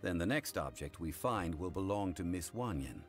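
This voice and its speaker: An actor playing a calm, dignified male scholar and consultant in an anime game, speaking formally and in a measured way. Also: deep voice. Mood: composed, formal. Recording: music behind the voice.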